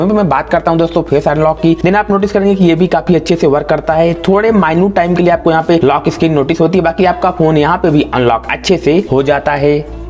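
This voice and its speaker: An Indian man narrates a tech review as the host of his own channel. His voice sits a little high for a man and he moves along at a quick clip. English technical terms are mixed through his delivery. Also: a little mellow. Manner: habitually mixes English tech terms into speech. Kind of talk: scripted gadget review voice-over.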